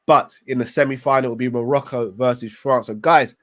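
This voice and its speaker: A man's voice: medium pitch, steady tone, brisk. Congested man giving football opinions. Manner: opinionated, emphatic. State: congested from a cold. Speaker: football channel host. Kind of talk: casual recap monologue.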